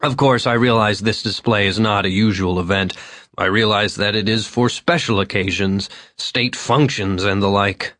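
A man's voice: lowish voice, fairly even intonation, normal speed, fairly bright tone, no echo, studio quality, natural voice. A man voicing a drunk, disheveled middle-aged man who rambles, slurs and swings between overly polite and maudlin. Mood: rambling, maudlin. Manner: slurred, wandering, overly polite then maudlin.

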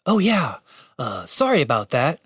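A man voicing an anxious, earnest male elf adventurer with a hurried manner. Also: mid-range voice, wildly swooping pitch, a bit bright, husky, dry recording, studio quality, exaggerated voice. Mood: sheepish, hushed.

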